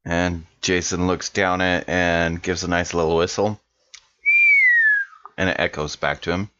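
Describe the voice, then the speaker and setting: A man's voice: sits low, little pitch movement, unhurried, fairly bright tone. A man playing a tabletop roleplaying game, chatting casually in and out of character, on a slightly dull recording.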